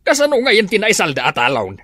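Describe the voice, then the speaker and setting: A man's voice: high-pitched, wildly swooping pitch, fairly quick, sharp tone, no room sound, full character voice. A stern, worried old father. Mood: exasperated. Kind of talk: radio drama acting.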